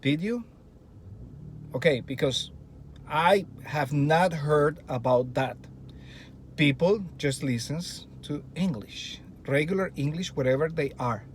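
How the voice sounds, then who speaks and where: A man's voice: a little high, slow, slight background noise. A middle-aged man with a Colombian Spanish accent, a native Spanish speaker and a Spanish teacher, talking earnestly to fellow teachers and asking a lot of rhetorical questions. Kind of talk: presentation to teachers.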